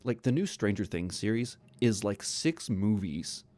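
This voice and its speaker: Podcast host guy, kinda low voice, casual, working out his thoughts as he talks. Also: lively intonation, a little slow, a little mellow. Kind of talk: casual conversational opinion.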